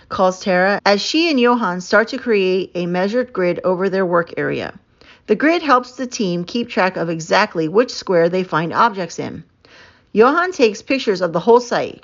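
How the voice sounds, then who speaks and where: A woman narrating a children's book aloud in an expressive, emphatic storytelling manner. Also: medium pitch, moderate pace, lo-fi sound. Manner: animated, emphatic storytelling with stretched key words.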